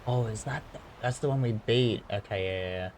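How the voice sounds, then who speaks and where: A man's voice: fairly low pitch, normal speed, darkish tone, a little hiss or hum. A man giving casual game commentary, thinking aloud hesitantly as he pieces a story together. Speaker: gaming video creator. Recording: game music behind voice. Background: game music.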